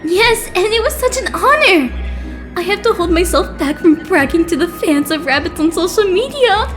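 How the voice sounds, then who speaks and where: A woman voicing an excitable young male idol fanboy in an anime game fan dub, gushing and dramatic. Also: extremely high pitch, normal speed, faint music behind.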